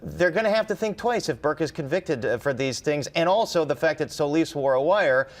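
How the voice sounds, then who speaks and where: American man giving halting news analysis. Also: on the high side, expressive. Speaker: reporter. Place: TV studio.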